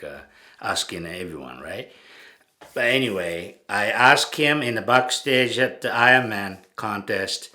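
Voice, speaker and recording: Bright-voiced Japanese-accented man, slow and halting. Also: on the low side. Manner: halting, many fillers and restarts. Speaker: channel host. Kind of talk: casual spoken recollection.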